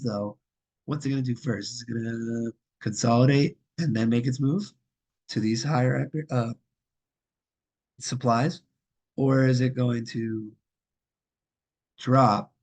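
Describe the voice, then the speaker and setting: Young guy, raspy, throat's sore, losing his voice, just chatting loosely thru his charts. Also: mid-range voice, rough recording. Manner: loose and chatty, many fillers and asides. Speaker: trading channel host. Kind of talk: casual chart analysis walkthrough.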